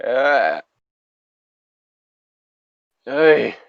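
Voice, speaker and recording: Animated, sweary man reading and ranting. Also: mid-range voice, extremely slow, creaky, no room sound, lo-fi sound. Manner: sweary, animated, exasperated asides. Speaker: host reading an internet story aloud. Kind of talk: casual story reading with ranting commentary.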